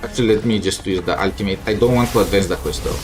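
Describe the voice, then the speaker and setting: A man's voice: lowish voice, quick pace. A man thinking aloud in casual, mildly grumbling commentary as he plays a video game. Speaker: gamer streaming a playthrough. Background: game music.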